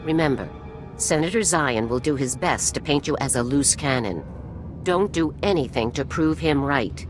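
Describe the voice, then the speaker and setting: A woman playing a stern, authoritative military general, formal and measured, in a video game. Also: deep voice, animated. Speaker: commanding officer. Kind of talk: video game voice acting, briefing. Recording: music behind the voice.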